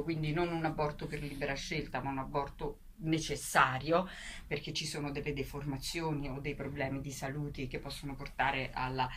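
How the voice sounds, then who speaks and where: Low-pitched woman explaining in an interview. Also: a bit bright. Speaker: actress, radio guest. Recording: faint background noise.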